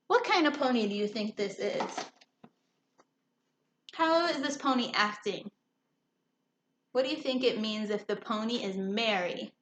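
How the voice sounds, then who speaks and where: A woman with a fairly high voice reads aloud as a teacher to young children. She explains things simply and checks in with questions, in an echoey room with faint background noise. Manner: instructive, checks understanding often.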